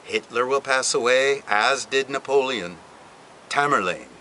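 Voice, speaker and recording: A man reading a book passage aloud, with an expressive, deliberate delivery and dramatic pauses. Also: mid-range voice, slight background noise. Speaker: reader. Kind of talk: literary reading.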